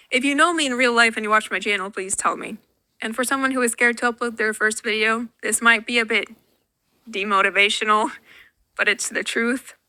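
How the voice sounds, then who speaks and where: A woman's voice: mid-range voice, sharp tone, dry recording. Dry self-mocking young woman from Finland. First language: Finnish. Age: young adult. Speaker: YouTuber. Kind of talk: Q&A vlog voice-over.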